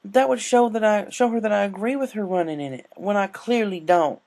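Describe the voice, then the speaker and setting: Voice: medium pitch, a little fast, a little mellow, pitched above the performer's own voice, close to an everyday voice. A performer voicing a stubborn, honest female farm pony in a cartoon fan story. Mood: stubborn, troubled.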